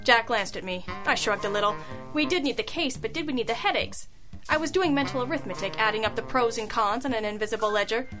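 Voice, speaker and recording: A woman's voice: fairly high pitch, fast, over music, natural voice. A woman playing a wry, tough girl detective in an old-time noir radio drama, sardonic and knowing. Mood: wry narrating.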